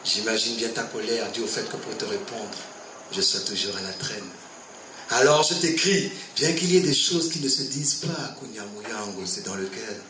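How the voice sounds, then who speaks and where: A man's voice: lowish voice, lively intonation, normal speed. Man performing slam poetry in an echoey room. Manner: declamatory, swinging from forceful projection to hushed intimacy. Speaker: slam poet. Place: live poetry competition venue. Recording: roomy echo, distant mic, faint noise.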